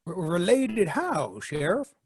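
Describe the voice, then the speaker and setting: An actor playing an excitable, teasing farm husband with a temper and a husky voice in old-time radio drama. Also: male voice, high voice, slow pace, no echo, exaggerated voice.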